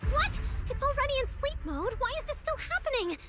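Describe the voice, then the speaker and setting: A woman's voice: very high-pitched, big pitch swings, fairly quick. An actress playing an earnest, passionate young woman technician who is easily distressed, in a video game. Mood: distraught. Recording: background music under the voice.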